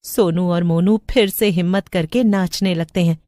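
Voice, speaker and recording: A woman's voice: on the low side, fairly even intonation, normal speed, warm tone, dry recording, clean studio sound. A woman narrating a scripted story as a storyteller.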